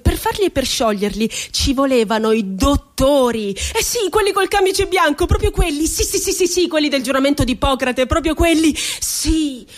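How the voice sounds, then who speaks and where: A high female voice gives us a confined poet, wounded yet childlike. She delivers a theatrical radio-drama monologue, lyrical and dramatic, edged with irony. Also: lively intonation, normal speed, few pauses. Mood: childlike, ironic. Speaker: stage actress.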